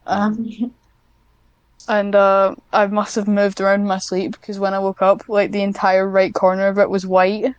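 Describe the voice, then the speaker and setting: A woman talks casually as an interview guest in a smooth, crisp voice recorded very dry. She is hesitant and self-deprecating, feeling her way through answers with a giggly streak. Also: medium pitch, monotone, measured pace, no room sound, highs cut off. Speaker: podcast guest, scriptwriter.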